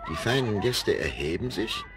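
A man's voice: on the low side, sing-song, moderate pace, a bit bright. A man narrating a children's radio play in a scripted storytelling manner. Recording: vinyl rip with hiss.

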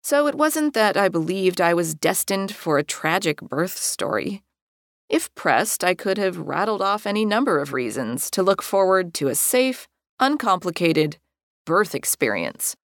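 A middle-aged American woman reading her own personal memoir as an audiobook in a measured, articulate way. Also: on the low side, very animated, no echo, studio quality. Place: dry recording studio.

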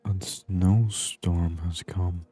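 A man giving soft-spoken ASMR gameplay commentary in a hushed, unhurried murmur, at times near a whisper. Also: sits low, steady tone, breathy edge, soft background music. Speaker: gaming video host.

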